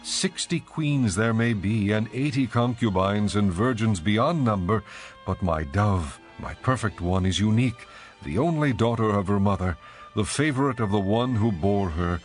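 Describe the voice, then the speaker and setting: Audio drama bridegroom, male actor: low register, reverent and poetic, adoring, scripture recitation with light music underneath. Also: animated, normal speed, close to an everyday voice. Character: adoring male lover praising his bride, ancient royal poetry.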